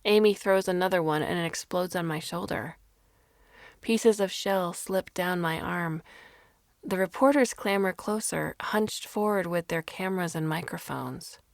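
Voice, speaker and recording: Creaky-voiced woman narrating fiction. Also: on the low side, unhurried, no echo, studio quality. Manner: dramatic, expressive reading. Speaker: story narrator. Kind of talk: scripted fiction narration, first person.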